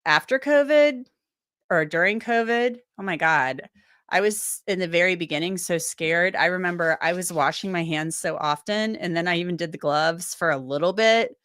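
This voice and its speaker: A woman's voice: fairly low pitch, normal speed, fairly bright tone, no room sound, clean studio sound. A woman host, getting over a cold, chatting loosely through viewer comments and thinking aloud with many restarts. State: recovering from a cold, voice a bit strained. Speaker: legal commentator and livestream host. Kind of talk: casual livestream chat reading viewer comments.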